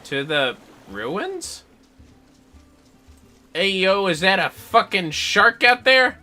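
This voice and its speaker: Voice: medium pitch, sing-song, slow, pushed and bright, faint music behind. A gamer giving casual livestream commentary in a playful, reactive, sweary way. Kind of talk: casual game-stream commentary.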